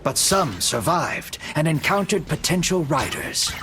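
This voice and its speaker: A man giving scripted, cinematic storybook narration in a solemn, measured storyteller's delivery. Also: a little high, sing-song, noisy background. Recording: music behind the voice. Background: music.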